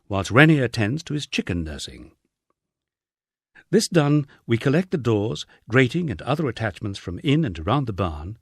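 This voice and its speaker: A man's voice: mid-range voice, husky, no echo, clean studio sound. A man reading a first-person memoir as an audiobook, in a wryly understated storytelling manner. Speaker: audiobook narrator.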